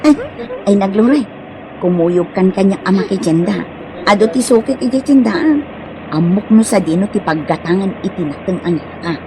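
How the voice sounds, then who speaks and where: A woman's voice: medium pitch, animated, moderate pace, a crowd in the background, lightly acted, low-quality recording. An Ilocano-accented woman playing a whiny, jealous mother of many in a comedy radio drama, complaining dramatically. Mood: persuading.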